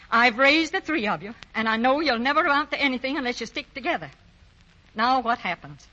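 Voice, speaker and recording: A woman's voice: on the high side, sharp tone, muffled recording. A woman playing a strong, emphatic middle-aged mother of grown sons in a 1940s radio drama.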